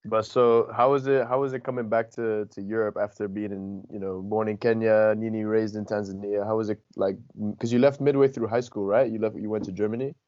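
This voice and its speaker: A man hosts a podcast, easygoing and slangy, asking short questions. His voice is a bit low. It is recorded close and dry, with a dull, lo-fi tone. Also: steady tone, fairly quick, few pauses, a little mellow, dry recording. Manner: laid-back, slangy, brief replies. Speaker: podcast host. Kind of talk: casual podcast chat.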